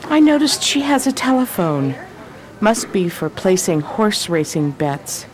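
A woman's voice: medium pitch, very animated, measured pace, a little hiss or hum. A woman playing a bold, curious, teasing heroine in a 1920s period radio crime drama. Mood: sociable, curious. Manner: sociable, probing, slangy.

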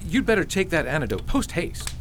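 Old fantasy villager male actor, frank and emphatic, lively intonation, background noise on the recording. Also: fairly high pitch, brisk. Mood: gruff concern. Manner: matter-of-fact, advising, emphatic. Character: old father, plain-spoken, seasoned fantasy islander. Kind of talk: fantasy radio drama acting.

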